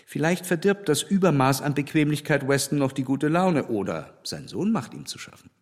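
An actor playing a frank, critical gentleman in a literary radio play, opinionated and wry in a formal way. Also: a little high, lively intonation, normal speed. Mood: dryly teasing. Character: frank, critical English gentleman, dryly teasing.

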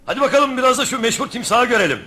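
A man playing a polite, teasing gentleman visiting a zoo in an animated radio play performance. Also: extremely high pitch, rapid-fire, heavily acted.

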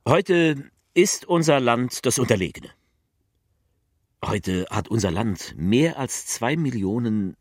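An actor playing a political orator who delivers a bleak, defeatist speech in a theatrical radio play, declamatory and repetitive, with a husky voice. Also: male voice, mid-range voice, sing-song, no room sound, studio quality, full character voice. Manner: declamatory, repeating phrases with rhetorical pauses.

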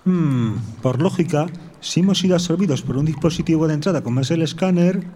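A man's voice: a little high, fairly quick, mellow, lightly acted. A man playing a bewildered but logical tech worker in an audio drama, explaining things as he reasons aloud. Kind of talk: amateur audio drama acting.

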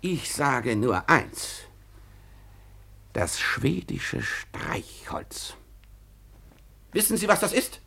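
An actor in a 1950s German radio play playing a self-important young police detective, officious and pompous but easily flustered. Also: male voice, medium pitch, big pitch swings, extremely slow, gravelly, pauses often, bright, forceful tone, exaggerated voice. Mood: indignant, commanding.

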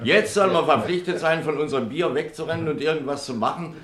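An actor playing a cynical, grumbling pub drinker in a radio play, sardonic and unwilling to help. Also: male voice, a little high, quick pace, a bit bright, roomy sound, close to an everyday voice. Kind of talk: German radio play acting.

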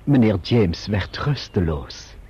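A muffled radio drama recording of a servile Dutch manservant. His voice is very husky and swings widely in pitch. He reports in formal, deferential, self-serving phrases with careful hesitations. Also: very animated, slow pace, mellow, full character voice. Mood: smooth, deferential. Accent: Netherlands Dutch.

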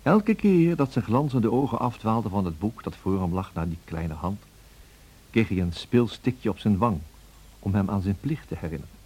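An actor playing a refined gentleman who recounts his memories in a measured, formal radio play narration. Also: lowish voice, animated, breathy edge. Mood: composed, reflective.

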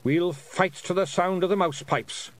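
Man putting on a feisty, high-pitched Scottish pixie warrior chief. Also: unhurried. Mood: defiant. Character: feisty tiny male pixie warrior chief, blunt and combative.

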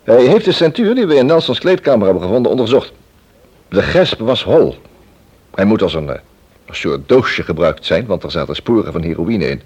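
A matter-of-fact investigator in a radio drama. His voice is fairly low and husky, and he reasons step by step in a businesslike manner. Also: sing-song, normal speed, darkish tone. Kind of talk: Dutch radio play acting.

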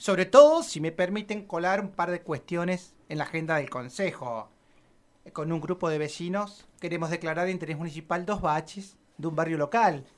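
A woman's voice: fairly low pitch, normal speed, lightly acted. An Argentine woman playing an evasive, self-serving local councillor in a satirical radio play, hesitant and wordy with officialese. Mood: calculating.

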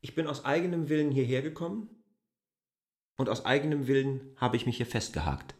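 An actor playing a proud, defensive land surveyor in a German literary radio drama, arguing deliberately in formal phrasing. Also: male voice, mid-range voice, animated, no room sound, clean studio sound. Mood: defiant.

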